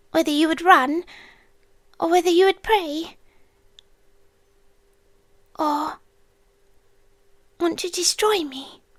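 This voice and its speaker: A British woman playing an ancient, possessive, inhuman stalker in an intimate ASMR roleplay, speaking in a hushed, deliberate, teasing way that slips into whispers. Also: high-pitched, no room sound. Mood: confessional, hushed.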